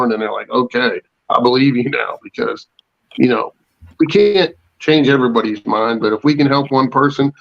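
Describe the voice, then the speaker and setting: A man chatting as a panelist on a talk show in a plain-spoken, folksy way. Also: medium pitch. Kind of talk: casual chat. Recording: dull lo-fi online audio.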